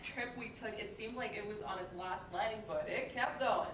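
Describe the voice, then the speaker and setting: A woman's voice: medium pitch, moderate pace, fairly bright tone, natural voice. A woman playing a breezy, affectionate older sister in a play reading, chatty and natural. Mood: playful, nostalgic. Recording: roomy, distant mic, noisy background.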